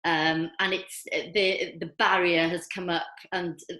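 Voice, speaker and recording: Welsh woman with a low voice in an echoey room. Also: little pitch movement, a little slow. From Wales. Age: older. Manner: hesitant, many ums, warm enthusiastic. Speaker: filmmaker guest. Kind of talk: online festival Q&A.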